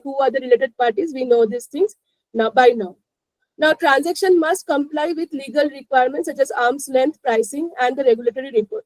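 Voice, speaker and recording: Woman, Indian accent, high voice, dry close sound, webinar presenter, explanatory, filler-heavy phrasing. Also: darkish tone, dry recording, poor audio. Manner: repeats 'you know, like' and checks understanding. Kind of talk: live explanatory lesson.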